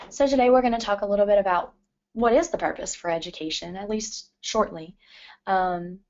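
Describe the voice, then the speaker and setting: A woman's voice: mid-range voice, darkish tone. A woman in her thirties from Louisiana, a literacy professor presenting to educators in a scratchy, allergy-worn voice, with many ums. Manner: frequent ums, careful and earnest. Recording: dull lo-fi recording.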